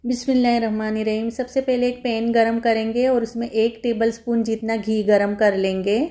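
A woman's voice: on the high side, flat delivery, normal speed, warm tone. A woman home cook giving a step-by-step recipe voice-over, instructing viewers in a friendly, familiar form of address.

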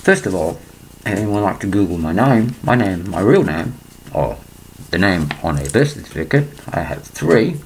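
An older man from England, speaking into a laptop webcam in a small room, rambling and clearing his throat between points. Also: sits low, very animated, normal speed, a little hiss or hum, rough recording. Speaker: vlogger. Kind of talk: rambling conspiracy monologue to webcam.

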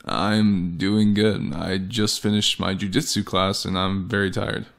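A man's voice: low voice, level tone, a little slow, vocal fry, a bit bright. A man giving a comic dramatic reading of text messages, with sardonic, exasperated delivery. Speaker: host and voice actor. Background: background music.

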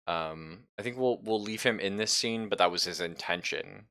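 A man's voice: low-pitched, moderate pace, bright, forceful tone. A man casually narrating an online tabletop game as game master, in a joking, loose way.

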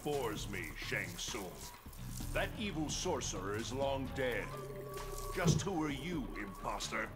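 A man's voice: medium pitch, sing-song, takes it slowly. A man playing a stern, commanding thunder god in a fighting game. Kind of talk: fighting game character dialogue. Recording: loud game music behind.

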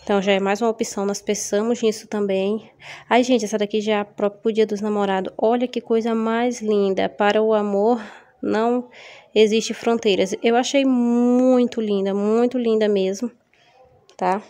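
A Brazilian woman vlogging casually from her home kitchen, a little hoarse from a cold, chatty and often checking in with her viewers. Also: mid-range voice, steady tone, normal speed, mellow. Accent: Brazilian Portuguese. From Maranhão, Brazil. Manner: chatty, frequent tag questions to viewers. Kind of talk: casual haul vlog. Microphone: phone camera held over table.

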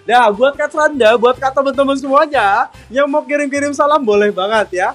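A man's voice: very high-pitched, moderate pace. A man hosting a live-selling stream, chatting upbeat and reading viewer comments aloud in a bakery kitchen. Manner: chatty and upbeat, constantly addressing viewers warmly. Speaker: live-stream sales host.

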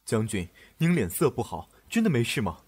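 A man's voice: medium pitch, sing-song, moderate pace, darkish tone, breathy edge, no room sound. A man playing a deferential, caring soldier who attends his general in an ancient Chinese audio drama. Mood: concerned, deferential. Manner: deferential, solicitous.

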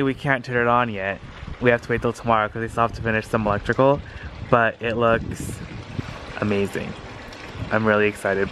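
A man in his thirties vlogging casually into a handheld camera, chatty and excitable, talking straight to his viewers. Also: mid-range voice, noisy background. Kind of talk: casual vlog narration. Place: car, outdoor yard and thrift store.

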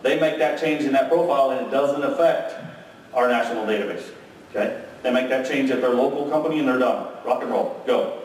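A man's voice: mid-range voice, brisk, a little mellow. A male conference presenter giving a technical keynote, talking energetically and checking in with "okay?" and "right?" as he goes. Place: large hotel conference room with audience. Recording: very echoey ballroom from far off.

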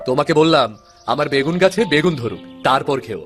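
A man's voice: fairly high pitch, animated, fast, fairly bright tone, faint music behind. A man playing a simple-minded village husband in a cartoon folktale, childlike, earnest and whiny. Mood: stubborn, earnest. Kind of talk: fairy-tale cartoon acting.

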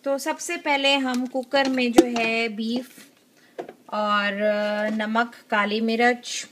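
Slow, high-voiced cooking host. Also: female voice, steady tone, noisy background. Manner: unhurried, with frequent filler pauses. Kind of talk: step-by-step cooking instructions. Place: home kitchen.

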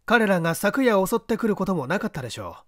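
Man playing a brisk, high-toned gentleman detective. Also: sing-song. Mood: probing, pointed. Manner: terse, businesslike, probing. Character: brisk, self-possessed English gentleman master detective. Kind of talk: audiobook character dialogue.

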